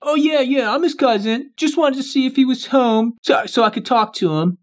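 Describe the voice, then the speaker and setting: A man's voice: extremely high pitch, normal speed. A man playing a scheming evil twin who poses as a casual, hesitant cousin in a game roleplay. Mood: falsely polite. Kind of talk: improvised video game roleplay.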